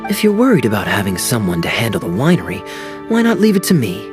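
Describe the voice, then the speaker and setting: A man's voice: fairly low pitch, wildly swooping pitch, fast talker. An actor voicing a suave young male knight in a game cutscene, easygoing, wry and charming. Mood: caring. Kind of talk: video game cutscene dialogue. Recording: game audio with background music.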